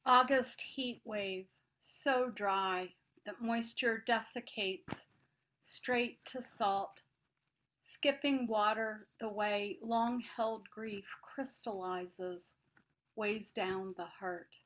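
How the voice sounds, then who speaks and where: A woman recites poetry at a very slow pace in a medium-pitched voice. There is faint noise in the recording. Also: fairly bright tone, rough recording. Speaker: poet.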